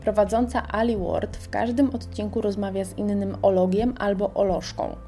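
A woman reading a scripted radio segment that recommends podcasts, in a measured and enthusiastic way. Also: medium pitch, fairly even intonation, mellow, soft background music.

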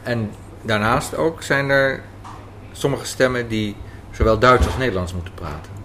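A man's voice: medium pitch, normal speed, sharp tone. A man conducting a conversational interview as the interviewer, recorded on location. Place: on location at an Amsterdam café and square. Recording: noisy on-location recording with city ambience.

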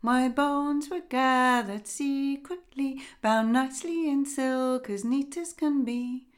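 A woman voicing an eerie singing bird, the spirit of a murdered boy, in a slow sing-song chant. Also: high voice, pitched above the performer's own voice. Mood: haunting chant.

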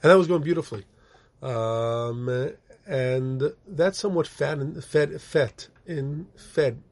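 A man's voice: on the high side, slow pace, a little mellow. A middle-aged man, born in the United States and raised in Israel, telling his life story as a rabbi guest on an interview show, with many fillers and restarts. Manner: rambling, frequent fillers and restarts. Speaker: guest, rabbi and organization director. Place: office.

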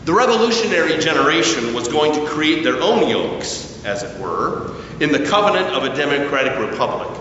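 A man preaching a sermon at a slow, deliberate pace, his voice rising and falling with emphasis. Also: fairly high pitch, slight background noise. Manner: slow, deliberate preaching with lively rises and falls. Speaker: pastor. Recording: echoey church hall, distant mic, dull sound.

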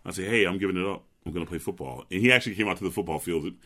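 Deep resonant bass-voiced man. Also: very fast, bright, forceful tone. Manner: chatty storyteller, laughs easily. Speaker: opera bass, guest. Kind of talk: relaxed interview chat.

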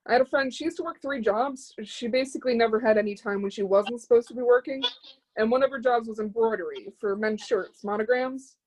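An actress plays a blunt, unimpressed woman in a stage play reading. Her voice sits a little high. She talks in a dry, clipped, colloquial way, handing out advice as someone who has seen it all. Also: brisk, a little mellow, natural voice, low-quality recording. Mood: matter-of-fact.

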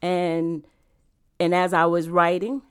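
A woman's voice: on the low side, flat delivery, slow pace, clear, resonant tone. A woman who grew up in Rhode Island, speaking earnestly about her faith as a guest and author in a casual interview. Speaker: guest, church member and author.

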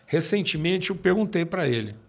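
A man in his sixties with a Brazilian accent, a pastor telling his own story in conversation and slowing down to stress his points. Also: on the high side, expressive. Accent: Brazilian Portuguese. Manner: emphatic, slows down to stress points.

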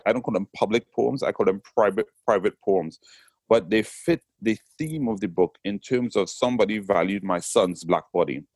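A man's voice: fairly low pitch, a little mellow, no room sound. A middle-aged man from Trinidad with a Trinidadian accent, a poet talking thoughtfully in an interview and often circling back on his phrases. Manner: thoughtful, circling back on phrases, frequent "you know what I'm saying". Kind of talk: reflective conversational interview.

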